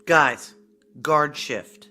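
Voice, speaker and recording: Female security chief, audio drama, forceful bright tone, brisk, curt, commanding, no-nonsense. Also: deep voice, faint music behind, exaggerated voice.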